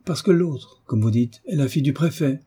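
A man's voice: medium pitch, normal speed, mellow, breathy edge. A man voicing a knowing schoolgirl of fifteen with a pointed, prim way of talking, in a storybook reading.

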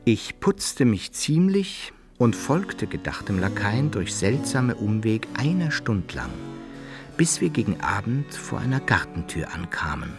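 A man's voice: lowish voice, very animated, unhurried, music in the background. An actor playing an aging adventurer who recounts his life in old-fashioned literary phrasing for a radio drama. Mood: measured recounting. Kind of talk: literary first-person narration in radio drama.

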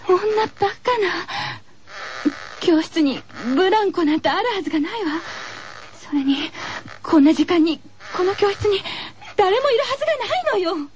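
A very high-pitched young heroine, acted by a woman, frightened yet resolute in a haunted school. She speaks with tense, breathy drama, as in a horror radio play, over faint noise. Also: animated, normal speed. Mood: disbelieving fear. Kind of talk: Japanese horror radio drama acting and narration.

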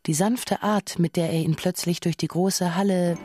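A woman's voice: on the low side, fairly even intonation, normal speed, darkish tone, close to an everyday voice. A woman acting as the narrator of a radio drama, recounting absurd events evenly in scripted prose. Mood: wry, even. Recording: dry studio, faint music.

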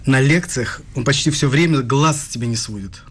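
A man's voice: mid-range voice, normal speed, fairly bright tone, husky. An actor playing an affectionate, easygoing young male student in a radio play, talking in a teasing, coaxing way. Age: young adult.

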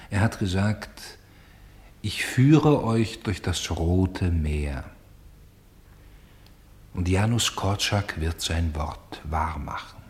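An actor playing a grave, sorrowful storyteller in a radio play, with solemn and deliberate phrasing. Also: male voice, sits low, darkish tone. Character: grave, sorrowful male storyteller bearing witness to tragedy.

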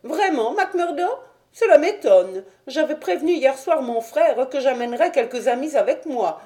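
A woman voicing an anxious, fussy gentleman who speaks in a nervous, indignant way in an audiobook story. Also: high-pitched, animated, normal speed, voice pushed up. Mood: indignant, perplexed.

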